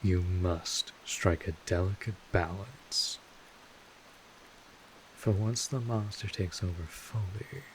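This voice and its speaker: Man acting a low-voiced, slow-talking vampire mentor. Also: slight background noise, rough recording. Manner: slow, measured, informal tutoring with wry asides. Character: gruff 150-year-old male vampire mentor, world-weary but kindly. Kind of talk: second-person audio roleplay monologue.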